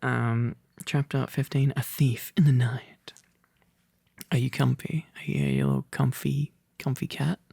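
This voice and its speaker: A man's voice: mid-range voice, steady tone, measured pace, creaky, mellow, husky, dry recording, studio quality. A young man speaking in a casual, playful and teasing boyfriend-roleplay ASMR style, rambling as he chats to the listener. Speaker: ASMR roleplay performer, reader.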